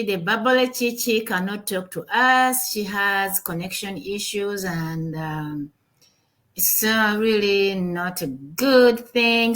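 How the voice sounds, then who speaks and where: A woman's voice: medium pitch, a bit bright. A woman from Uganda hosting a livestreamed business talk, upbeat, with many fillers and repeated words. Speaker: webinar host. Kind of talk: casual livestream chat. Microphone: home computer mic on a video call.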